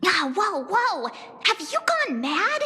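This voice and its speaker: A woman playing a perky, excitable young girl in a video game, chatty, exclamatory and teasing. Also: very high-pitched, slow, big-room sound, soft background music, exaggerated voice. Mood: exasperated.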